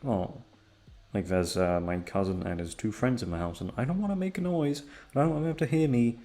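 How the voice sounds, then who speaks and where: A young man chatting casually to his listeners in a quiet, low-energy, laid-back way, hesitant and swearing freely. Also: fairly quick. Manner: hushed, low-energy, keeping quiet for others in the house. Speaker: audio roleplay creator and host. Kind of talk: rambling casual chat to listeners. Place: at home.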